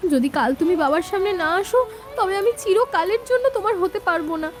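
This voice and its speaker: A woman's voice: very high-pitched, a little fast, over music. A woman playing an anxious young village sweetheart in a cartoon, urgent and pleading.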